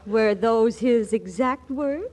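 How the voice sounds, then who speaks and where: An actress playing a glamorous young model in a 1940s radio comedy, coquettish and teasing with frequent giggles. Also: female voice, fairly high pitch, takes it slowly, heavy background noise. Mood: coy teasing. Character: glamorous young female model, coy and playful. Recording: old radio broadcast recording.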